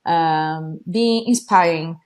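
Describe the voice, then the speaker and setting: A woman's voice: sits low, takes it slowly, a little mellow. A woman from Italy with an Italian accent, a native Italian speaker, talking eagerly as a guest in a casual interview, with many fillers and restarts. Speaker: guest, horse trainer.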